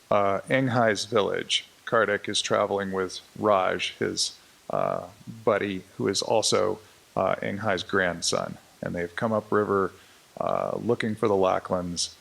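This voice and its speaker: A man with a lowish, fry-heavy voice sets up and reads a story scene. His delivery is informal and a little halting. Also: a bit bright, rough recording. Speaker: presenter reading a scene. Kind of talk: introducing and reading a script aloud.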